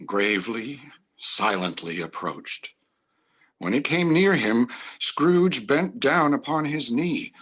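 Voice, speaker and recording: Slow, husky man narrating gravely. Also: lowish voice, fairly bright tone. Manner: grave, measured, deliberate. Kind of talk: scripted dramatic story narration.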